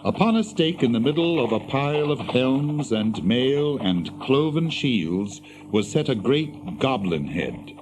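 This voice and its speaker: A man's voice: medium pitch, very animated, unhurried, few pauses, noisy background. A man narrating a fantasy tale as dramatic, scripted storybook narration.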